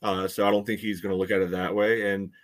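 A man with a fairly low voice talks through sports analysis as a podcast co-host. He is measured and conversational, often padding his points with "you know.". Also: level tone. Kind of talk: casual soccer analysis.

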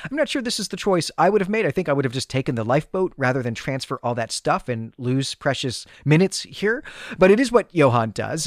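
A man's voice: medium pitch, dry recording, clean studio sound. A man hosting a podcast in a conversational, analytical way, thinking aloud as he recaps and discusses a story. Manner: analytical, thinking aloud with repeated hedging asides. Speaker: podcast host.

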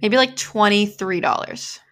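Woman co-host with quick reactions. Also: medium pitch, fairly bright tone. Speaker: podcast co-host. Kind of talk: casual chat.

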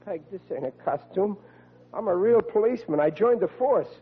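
An actor playing a bumbling, excitable young rookie cop in 1940s radio comedy style, stammering in ungrammatical everyman talk. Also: high voice, normal speed, breathy edge. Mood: eager, hopeful. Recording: tinny old radio recording.

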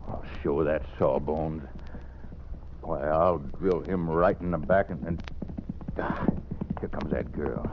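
A man's voice: a bass voice, slow pace, long pauses, background noise, exaggerated voice. An actor playing a sly, menacing, folksy Old West henchman with a Western drawl in an old radio drama. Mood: muttering, vicious. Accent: American Western drawl. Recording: dull old radio recording.

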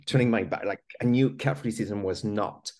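A man's voice: on the low side, a little fast, darkish tone. A man from France with a French accent, a podcast guest telling his life story in an earnest, conversational way. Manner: earnest, many small restarts and "you know".

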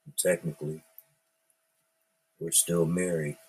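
A middle-aged man hosting his own podcast, talking in a slow, laid-back and profane ramble, musing aloud about whatever is on his mind. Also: low voice, level tone, darkish tone, breathy edge, poor audio. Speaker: podcast host. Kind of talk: casual rambling monologue.

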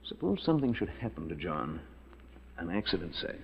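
An actor playing a scheming, skeptical lawyer with a measured, urbane, persuasive way of talking in a 1940s radio mystery. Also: male voice, deep voice, big pitch swings, a loud crowd. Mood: hushed, scheming. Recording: dull 1940s radio recording.

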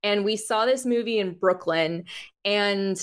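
Slow-paced American woman, casual podcast guest. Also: mid-range voice, clear, resonant tone. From United States (Florida). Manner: rambling, informal, frequent "like". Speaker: podcast guest, music journalist. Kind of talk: casual conversational interview.

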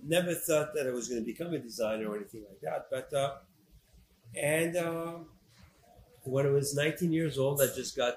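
French-accented man, halting, in an echoey room. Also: medium pitch, darkish tone. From Morocco and France. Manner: halting, repeats phrases. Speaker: fashion designer, interview guest. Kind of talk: conversational interview. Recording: echoey room with faint background noise.

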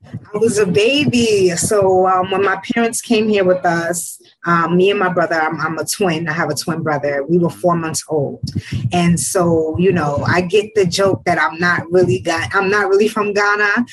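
A woman with a slightly husky voice, chatting casually as an interview guest. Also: fairly low pitch, steady tone, normal speed, low-quality recording. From Harlem, New York. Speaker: podcast guest, publishing CEO.

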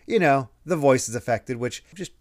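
A man hosting a casual podcast, his voice a little croaky from a recent illness. He laughs often and retells scenes in quick quoted voices. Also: medium pitch, lively intonation. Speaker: podcast host.

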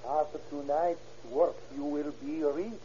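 A man's voice: fairly high pitch, steady tone, a little slow, dark tone, lightly acted. A man playing a humble night watchman. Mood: hopeful. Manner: polite and deferential. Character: humble Mexican night watchman, gentle and polite. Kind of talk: 1940s radio acting. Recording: dull old radio recording.

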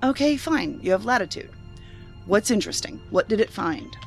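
Sardonic, lively female agent. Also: medium pitch, normal speed. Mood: challenging, impatient. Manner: challenging, sardonic, blunt. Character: skeptical, sharp-tongued female federal agent. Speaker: actor in audio drama. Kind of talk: science fiction audio drama acting. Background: music under the voice.

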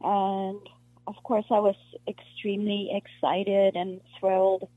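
A woman from South Africa, an actress being interviewed, talking in a halting way with many restarts and fillers. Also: medium pitch, little pitch movement, slightly rough, no room sound. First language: Afrikaans. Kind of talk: casual interview conversation. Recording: muffled lo-fi dry recording.

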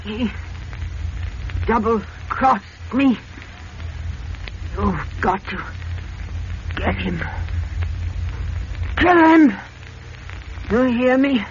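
A wounded villainess from a 1940s radio play. Her speech drags badly, halting and groaning, weak yet bitterly vengeful. The voice is pitched high, on a dull, hissy old recording. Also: animated. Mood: dying, vengeful. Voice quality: gasping, weak. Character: dying villainess, gaunt, vengeful, shot crime boss.

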